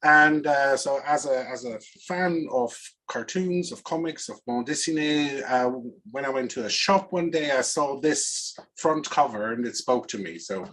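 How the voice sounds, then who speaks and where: A middle-aged man from Switzerland with a Swiss accent, presenting informally as a guest speaker in a halting, reflective manner. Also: mid-range voice, fairly bright tone, low-quality recording. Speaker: agile coach and guest speaker. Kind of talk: informal conference-style presentation.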